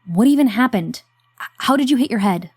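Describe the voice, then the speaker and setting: A woman voicing an exasperated young woman in an audio drama who scolds her friend with a sarcastic, protective edge. Her voice sits mid-range with a mellow tone, and it is recorded dry and close. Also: a little high, expressive, brisk, slightly rough, no echo.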